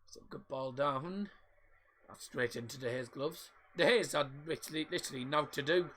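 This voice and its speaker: Croaky-voiced man commentating live. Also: a little high, moderate pace, pushed and bright. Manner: casual, rambling, mixes up names. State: croaky sore throat. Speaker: football stream host. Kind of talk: live match commentary and chat.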